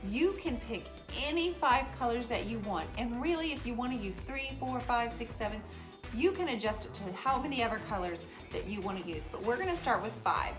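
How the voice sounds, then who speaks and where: A woman's voice: a little high, animated, fairly quick, over music. A woman with an American accent hosts a children's craft show, explaining steps casually with playful banter. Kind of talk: casual step-by-step craft demonstration.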